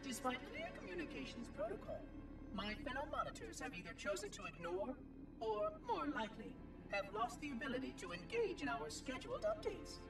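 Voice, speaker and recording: A man's voice: very high voice, wildly swooping pitch, brisk, heavily acted. A man voicing a fussy, officious robot caretaker in a game cutscene, with prim, formal and imperious diction. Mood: weary, matter-of-fact. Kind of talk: scripted game cutscene acting. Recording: distant, with music underneath. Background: music.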